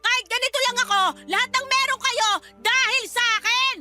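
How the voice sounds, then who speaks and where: A woman's voice: very high-pitched, steady tone, brisk, music in the background. An actress playing a harsh, domineering middle-aged mother who scolds and belittles in a Tagalog radio drama. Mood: furious.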